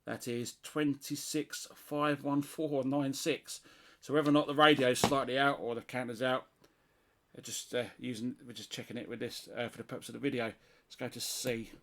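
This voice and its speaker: A man's voice: medium pitch, steady tone, moderate pace. A middle-aged British man with a mid-range voice gives an informal, hands-on demonstration. He speaks unscripted, working things out aloud as he tests. Noticeable noise and small clicks sit behind his voice. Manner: unscripted, thinking aloud while testing. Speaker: CB radio hobbyist presenter.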